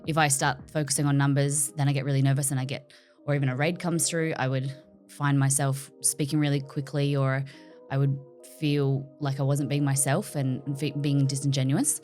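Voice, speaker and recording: Low-voiced Australian woman, chatty. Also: little pitch movement, normal speed, darkish tone, soft background music. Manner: chatty, articulates words carefully. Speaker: cooking streamer guest. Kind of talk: casual interview chat.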